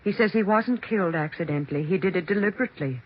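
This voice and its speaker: Grave, insistent low-voiced woman. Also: fast, husky. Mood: solemn, insistent. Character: middle-aged insinuating sister-in-law, solemn and insistent. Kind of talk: 1940s radio acting. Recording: muffled, faintly noisy 1940s radio.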